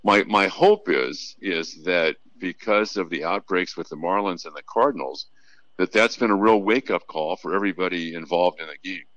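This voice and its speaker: A man with a low-pitched voice talks earnestly as an interview guest about sports. He sounds like a radio play-by-play announcer in conversation, on a dry, slightly dull recording. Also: moderate pace, fairly bright tone, no echo.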